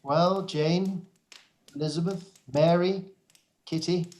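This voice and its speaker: A man acts an older gentleman father in a radio play, fairly light in pitch for the part. He is weary and wry, delivering his words with dry deliberation. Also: steady tone, slow pace. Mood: weary, subdued. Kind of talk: radio play live read.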